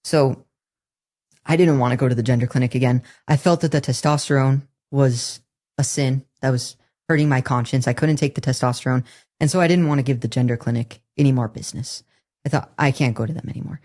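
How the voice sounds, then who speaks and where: A woman in her twenties with a somewhat deep voice, telling her own story as an interview guest in an earnest, candid way, with halting restarts. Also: fairly even intonation, darkish tone. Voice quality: somewhat deep, masculine-leaning voice. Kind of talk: personal testimony in a podcast interview.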